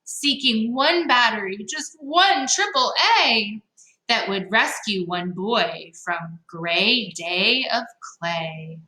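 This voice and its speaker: A woman's voice: medium pitch, animated, takes it slowly, sharp tone. A woman reading a children's story aloud in a dramatic, playful way, with chatty asides to her listeners. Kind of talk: expressive picture-book reading with chatty asides. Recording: roomy, echoing sound.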